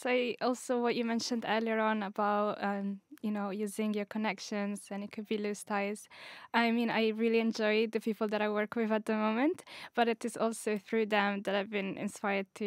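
A woman's voice: mid-range voice, flat delivery, moderate pace, dry recording. A young woman from Italy speaking politely and a little hesitantly as a questioner in a studio discussion. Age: young adult. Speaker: audience questioner in a podcast. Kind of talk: informal interview question. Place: small glass-walled radio studio. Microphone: studio boom microphone with headphones.

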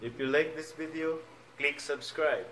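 A man in his thirties from the Philippines, a vlogger singing loudly and playfully through a vase like a megaphone in a large indoor home decor store, filmed on a phone. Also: a little high, steady tone, measured pace, noisy background. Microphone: phone camera, often held at arm's length. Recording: roomy store echo.

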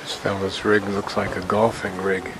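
A man's voice: deep voice, completely flat delivery, normal speed, a crowd in the background. An older man narrating a walking tour as he films, musing and thinking aloud about what he sees. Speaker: travel vlogger. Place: outdoors in a city garden and a small indoor museum.

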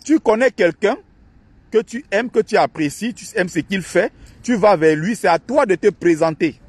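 A man's voice: lively intonation, husky, no room sound. A man recording himself by a lake on a phone, ranting and mocking in an indignant monologue to his followers. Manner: indignant, ranting and mocking, quick shifts in pitch. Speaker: online activist and channel host. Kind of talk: outdoor vlog monologue. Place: outdoors by a lake in a park.

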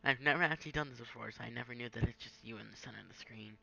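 A man's voice: medium pitch, racing, gravelly, sharp tone, lo-fi sound. A young man giving a casual, improvised screen-recorded tutorial in an offhand way. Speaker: gaming tutorial maker. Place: indoors at a home computer.

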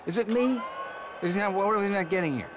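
A man's voice: sits high, a little fast, close to an everyday voice. A man with an American accent voicing a smug, sneering villain race car who taunts rivals in a cartoon game. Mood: smug, taunting. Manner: sneering, needling, repetitive. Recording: clear engine and trackside noise.

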